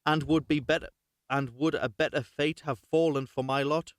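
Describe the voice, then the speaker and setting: A man's voice: on the high side, steady tone, moderate pace, no room sound, clean studio sound, close to an everyday voice. Man voicing an earnest little talking straw. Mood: plaintive. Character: talking straw, earnest survivor bemoaning its lot.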